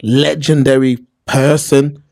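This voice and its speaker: A man's voice: medium pitch, little pitch movement, takes it slowly, vocal fry, a little mellow. A man with a London accent giving opinions in casual podcast talk, slangy and emphatic, repeating points for stress. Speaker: podcast host.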